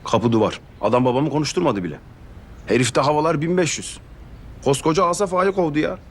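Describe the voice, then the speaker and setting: An actor playing Ferman, an anxious young man who pleads and complains about being his family's underdog. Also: a little high. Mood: complaining. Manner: fast, pleading, self-pitying. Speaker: actor in a TV drama. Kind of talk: TV drama acting.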